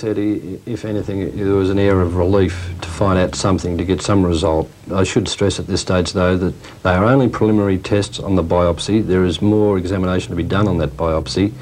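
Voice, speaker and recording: A man with an Australian accent gives an interview statement as a manager and spokesman. His voice is deep. The 1980s TV recording has faint noise. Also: a little mellow. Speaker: sports manager.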